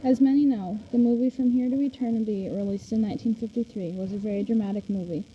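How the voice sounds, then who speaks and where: A woman's voice: fairly high pitch, fast talker. A woman hosting a 1940s-style radio interview, reading out prepared questions. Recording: dull recording with faint noise.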